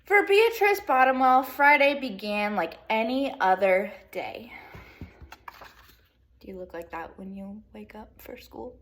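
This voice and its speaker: A woman teacher reading a children's picture book aloud in an expressive way, with casual asides to young pupils. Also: fairly high pitch, a little slow. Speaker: second grade teacher.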